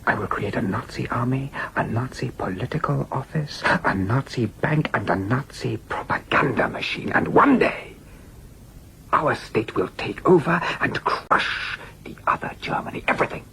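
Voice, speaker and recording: A fanatical male dictator in a radio drama. He declaims grandly in a mid-pitched voice, leaning on pauses and dropping into hushed intensity, over clearly noisy sound. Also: animated. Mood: commanding. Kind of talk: audio drama acting.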